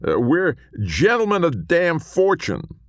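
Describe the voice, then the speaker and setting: A man voicing a small, hot-tempered ghost outlaw who shouts and swears in a shrill, high voice. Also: expressive, measured pace, gravelly, no echo, exaggerated voice. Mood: indignant. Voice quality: shrill, shouting, curses constantly.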